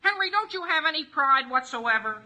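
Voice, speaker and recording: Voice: high voice, expressive, normal speed, pushed and bright, exaggerated voice. An actor voicing a cheerful, oblivious, chatty teenage boy in a 1940s radio comedy. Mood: chatty, pleased.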